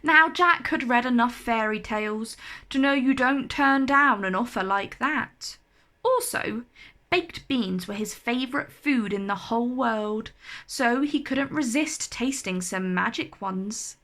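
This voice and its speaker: A woman reads a children's story aloud. Her delivery is slow, animated and carefully enunciated. The voice is bright and sits a little high.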